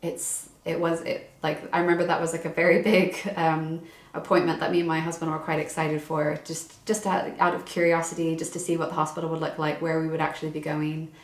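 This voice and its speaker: A woman's voice: low voice, monotone, a little fast. A young woman vlogging in a casual, chatty way, full of fillers and restarts. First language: English. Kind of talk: casual chat. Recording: echoey room.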